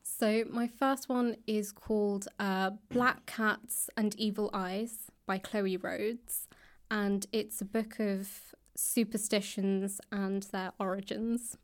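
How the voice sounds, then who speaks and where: British woman chatting, mid-pitched voice. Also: fairly even intonation, takes it slowly. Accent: British English. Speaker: guest, author and actor. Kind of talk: casual interview chat. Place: indoors in a public library room.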